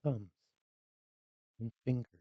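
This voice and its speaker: A meditation guide speaking calmly and slowly in short, unhurried phrases with long pauses, leading a scripted relaxation. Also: mid-range voice, no echo, narrow, tinny audio.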